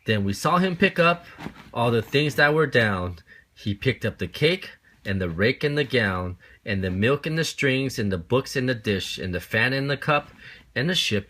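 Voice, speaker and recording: A man with a mid-pitched voice reads a children's story in verse. He is expressive and keeps a rhythmic, sing-song delivery, as a parent reading to a child. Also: moderate pace. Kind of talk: expressive children's picture-book read-aloud.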